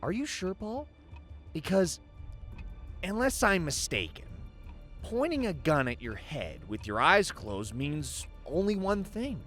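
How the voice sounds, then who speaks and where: A man's voice: high-pitched, sing-song, a bit bright, soft background music, full character voice. An actor playing a menacing male crime boss in an audio drama, taunting and falsely friendly as he toys with his prey. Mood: mocking menace. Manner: taunting, toying, drawn-out threats.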